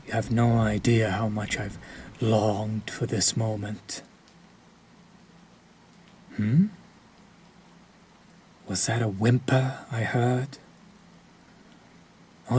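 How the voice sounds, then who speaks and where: Guy doing a sadistic vampire master for audio roleplay, possessive and commanding, super slow n breathy, noticeable ambience behind him. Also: on the low side, steady tone, a little mellow. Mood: gloating, seductive. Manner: slow, deliberate, domineering, often dropping into a breathy whisper. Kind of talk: intimate scripted audio roleplay addressed to the listener. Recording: clear background ambience noise.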